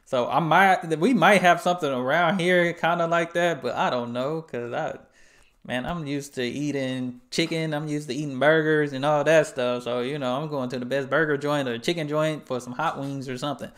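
A man's voice: fairly high pitch, steady tone, normal speed, a bit bright. A young American man in casual reaction commentary, laid-back and slangy, talking into a headset at home. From United States. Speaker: reaction channel host.

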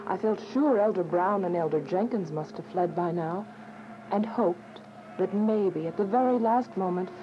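A woman's voice: mid-range voice, moderate pace, noisy background, natural voice. A woman playing an earnest, devout, anxious woman who narrates and speaks in a radio drama. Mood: solemn, reflective. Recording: muffled radio drama with music behind.

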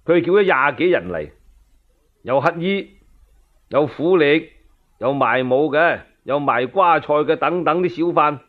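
High-voiced male Cantonese storyteller. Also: expressive, measured pace. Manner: colloquial, addressing listeners directly. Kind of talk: dramatic radio storytelling of a historical tale. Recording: narrow-band, phone-like radio recording.

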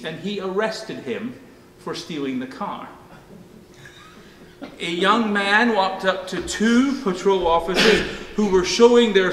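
Middle-aged pastor preaching in echoey church. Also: male voice, sits high, fairly bright tone. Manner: conversational storytelling preaching. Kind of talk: sermon. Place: church sanctuary, at a pulpit. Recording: echoey church hall, faint hum.

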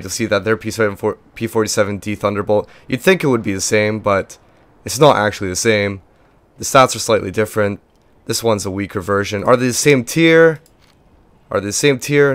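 A young man hosts a casual walkthrough of a game, talking in a chatty, rambling way with plenty of fillers. He has lost his voice, so he sits fairly low and stays stuck in one narrow band of pitch. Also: smooth, no echo. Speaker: gaming video host.

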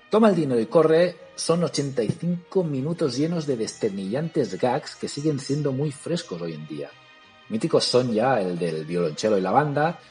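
A man, hosting a podcast and recommending a film in a lively, ironic way. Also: lowish voice. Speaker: podcast host.

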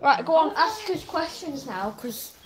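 A woman's voice: high-pitched, big pitch swings, measured pace, a crowd in the background. A young woman chatting playfully on a family live stream, showing off a little Christmas village. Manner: playful, giggly, teasing. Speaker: vlogger. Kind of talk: casual live-stream chat. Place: living room, indoors. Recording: noisy home stream.

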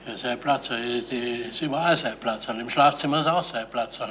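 An actor plays a sheepish Bavarian man in a radio drama, earnest and halting as he justifies himself. His voice is medium-pitched and bright, with faint hiss from the old recording. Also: close to an everyday voice. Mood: sheepish, pleading. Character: sheepish, pleading suitor jealous of a dog. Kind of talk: radio crime drama acting. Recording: slightly hissy 1970s radio recording.

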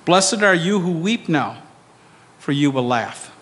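A man's voice: fairly high pitch, expressive, slow, a bit bright. Older man reading scripture, echoey hall. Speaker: scripture reader at a church service. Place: church sanctuary, indoors. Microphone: microphone on a stand. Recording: echoey church hall reverb.

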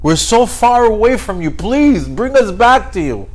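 A man voicing the lower waters of creation as a yearning, plaintive being appealing to heaven in a religious story. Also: sing-song, a little slow, heavily acted. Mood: pleading.

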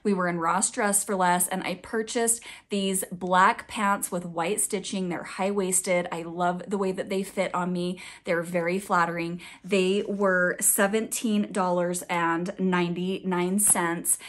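A woman's voice: lowish voice, fairly even intonation, a little slow, hardly any pauses. Woman with a slightly scratchy voice. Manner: chatty, upbeat and confessional. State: slightly raspy, scratchy voice from recent illness. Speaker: fashion vlogger. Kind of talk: casual budget update vlog.

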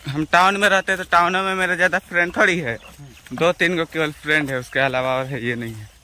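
Young adult man, casual village vlogger on a selfie phone, bright forceful voice. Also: a little high, fairly even intonation, brisk, a little hiss or hum. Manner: chatty, addresses viewers while doing chores. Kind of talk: casual vlog talk to viewers. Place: outdoors in village fields and lanes. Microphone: phone selfie at arm's length.

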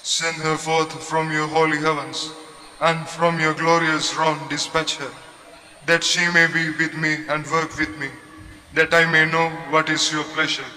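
A man leading spoken responses in a church prayer service, reciting in a flat, even way. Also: fairly high pitch, a little slow, a little hiss or hum. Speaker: prayer leader. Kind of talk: liturgical responses. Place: large church. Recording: reverberant church hall.

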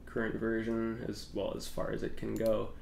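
A man's voice: on the low side, completely flat delivery, normal speed, gravelly, a little mellow. A young man with a congested cold voice, rambling casually through a tech vlog with lots of fillers. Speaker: tech YouTuber. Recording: echoey room with faint noise.

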